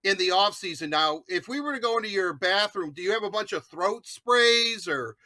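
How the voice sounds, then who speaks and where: Very bright, high-voiced male host. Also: expressive, moderate pace, no room sound. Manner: playful, teasing, rambling questions. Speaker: sports show host. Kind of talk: casual chatty interviewing.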